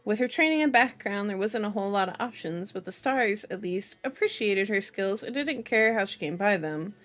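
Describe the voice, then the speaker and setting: A woman's voice: mid-range voice, lively intonation, moderate pace, a bit bright. A woman reading a novel aloud in an ASMR style, with informal, giggly asides, as a streamer. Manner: giggly, self-interrupting, informal.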